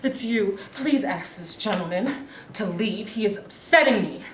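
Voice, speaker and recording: A woman's voice: medium pitch, lively intonation, unhurried, close to an everyday voice. A woman reading a proud, fiery elderly mother. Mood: dignified. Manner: dignified, bursts into angry outrage. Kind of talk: staged reading of a play. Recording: echoey room, distant mic, background noise.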